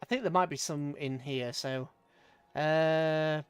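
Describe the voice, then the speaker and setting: A man's voice: a little high, slow, clean tone, dry recording, soft background music. A British man casually commentating a strategy game on a livestream, chatting with viewers and muttering rambling asides to himself as he plays.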